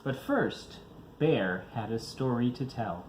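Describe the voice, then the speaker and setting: A man's voice: mid-range voice, lively intonation, slow, a little mellow, a little hiss or hum, low-quality recording. A father reading a children's picture book aloud, expressively, varying his pace for young listeners.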